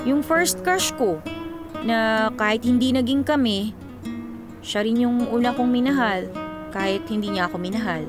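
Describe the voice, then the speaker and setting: A woman acts out a Filipino radio drama heroine in her twenties with a fairly high voice. She is a teasing, secretly lovelorn young woman, playing her scenes over background music. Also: normal speed, pauses often, natural voice. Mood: wistful, confessing. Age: 20s. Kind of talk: Tagalog radio drama acting. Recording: music behind the dialogue.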